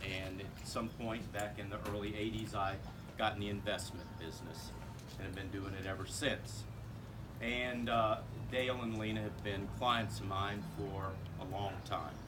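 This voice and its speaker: A man's voice: on the low side, fairly even intonation, normal speed. Big-voiced older man, noisy. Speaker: investment adviser speaking at a party. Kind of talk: casual tribute speech. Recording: very noisy event recording.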